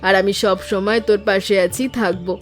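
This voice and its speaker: Woman acting village aunt, cartoon dialogue, medium pitch, gentle, wise, doting, faint background music. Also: fairly even intonation, brisk, lightly acted. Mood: reassuring. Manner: tender, reflective. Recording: music under the voice.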